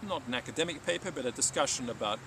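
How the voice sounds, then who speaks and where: A man's voice: medium pitch, animated, racing, far from the mic, noisy background. A man speaking as a teacher hosting a live stream, reading aloud and talking informally.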